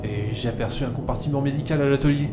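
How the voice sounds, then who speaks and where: A man's voice: mid-range voice, fast talker, lightly acted. A man acting as a practical, confident spaceship crewman who knows machines, in a French sci-fi audio drama. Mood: inquiring, practical. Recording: echoey room, music behind. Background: music.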